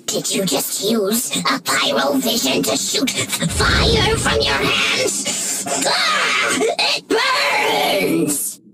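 An actor voicing a small villainous monster mage in a video game, with a squeaky, theatrical delivery. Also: huge pitch swings, extremely slow, hardly any pauses, shouting, loud music behind the voice, full character voice. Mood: panicked pleading. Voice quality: shrill, squeaky. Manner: sneering, whimpering theatrical delivery. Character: small menacing abyss mage monster, defiant then craven.

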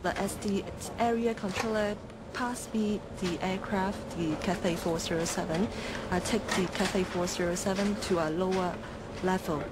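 A woman's voice: medium pitch, fairly even intonation, moderate pace. A young woman explaining her work as an approach controller in an interview. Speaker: air traffic approach controller. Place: dark radar control room. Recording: loud control room background noise.

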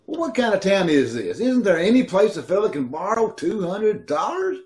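A man portrays a pleading, penniless brother in an old-time radio comedy. The voice is high and very animated, with needy, exasperated inflections. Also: moderate pace. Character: pleading, broke brother begging for a loan. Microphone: video call.

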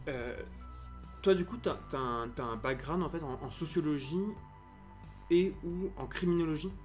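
30s guy hosting a live stream on a headset, stumbles and restarts a lot, soft music in the back. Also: on the high side, normal speed. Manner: hesitant, many restarts and fillers. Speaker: stream host, interviewer. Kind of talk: casual live-stream interview. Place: home room, indoors.

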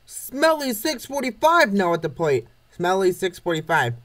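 High-pitched, lively man calling baseball plays. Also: normal speed. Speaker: narrator of a comic dub series. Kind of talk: scripted play-by-play narration of a comic baseball game.

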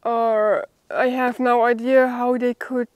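A young woman from Germany with a German accent, a native German speaker, talking haltingly and unscripted as a bushcraft vlogger. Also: a little high, little pitch movement, a little mellow, clear, resonant tone, dry recording. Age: young adult. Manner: halting, with pauses mid-sentence. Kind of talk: unscripted vlog talk to camera. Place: outdoors in a forest.

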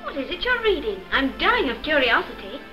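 A woman's voice: high-pitched, sing-song, brisk, rough recording. An actress in her twenties playing a spirited, teasing young English woman on leave in a 1940s film. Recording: 1940s film soundtrack with music behind.

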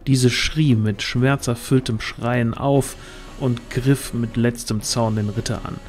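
A man narrates a fantasy audio drama in a literary storytelling style. His voice sits at a middle pitch, with music softly behind it. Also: steady tone, slow pace.

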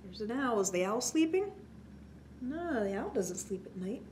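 A woman's voice: fairly high pitch, sing-song, moderate pace, a little mellow. A woman in her forties hosting a children's story time, talking to young listeners with exaggerated, prompting questions. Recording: clear background noise.

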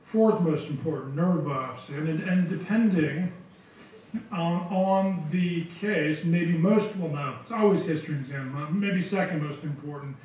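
A middle-aged man answers questions from a podium, relaxed and repeating himself as he thinks. His voice sits a little high. The sound is distant and dull, with strong hall echo and faint hiss. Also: unhurried. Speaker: visiting lecturer answering questions. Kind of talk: conference Q&A answers. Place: large lecture hall. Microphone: podium mic from far.

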